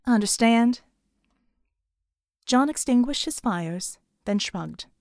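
A woman's voice: on the high side, very animated, moderate pace, darkish tone, no room sound, studio quality. A woman reading scripted fiction as an audiobook narrator.

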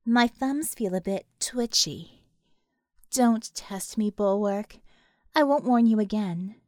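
A woman's voice: medium pitch, takes it slowly, darkish tone, no room sound, studio quality, lightly acted. A woman voicing a taunting, threatening female villain in an audiobook. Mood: cold threat. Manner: mocking, threatening.

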